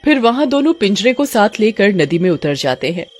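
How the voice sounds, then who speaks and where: A woman's voice: medium pitch, sing-song, fairly quick, darkish tone. A woman giving scripted story narration for a cartoon.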